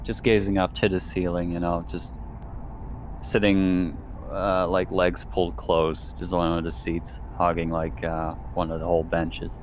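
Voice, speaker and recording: A very deep-voiced man plays a tabletop roleplaying game. He describes his character casually and haltingly, with a faint vehicle-like rumble of noise behind him. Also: level tone, low-quality recording.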